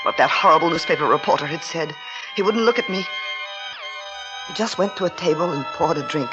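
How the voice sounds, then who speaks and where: An actress playing a nervous, faltering young wife who pleads anxiously, in 1940s radio drama style. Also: female voice, sits low, a little fast, over loud music, lightly acted. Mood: fearful, confiding. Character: anxious, jumpy young bride acquitted of murder, pleading. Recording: dull vintage radio recording.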